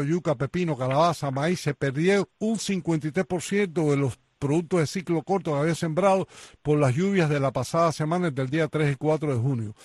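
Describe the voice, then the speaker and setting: Higher-pitched male radio news host, dry sound. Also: darkish tone, no echo. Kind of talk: news presenting and interviewing.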